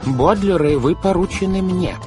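A man's voice: mid-range voice, very animated, fast, music in the background, voice pushed up, natural voice. A man voicing a stern, officious, flustered guardian in an audiobook, pompous and emphatic as he gives orders. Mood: flustered, shouting. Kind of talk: theatrical audiobook character acting.

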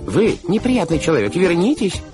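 A man's voice: medium pitch, big pitch swings, fast, music in the background, voice pushed up, lightly acted. A man voicing a stern, officious, flustered guardian in an audiobook, pompous and emphatic as he gives orders. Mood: flustered, shouting. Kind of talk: theatrical audiobook character acting.

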